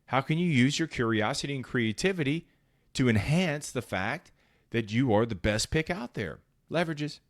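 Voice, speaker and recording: Male podcast host, motivational sales talk, profane and forceful delivery, mid-range voice. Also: medium pitch, expressive, normal speed. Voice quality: powerful voice. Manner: blunt, profane, emphatic, punchy short phrases.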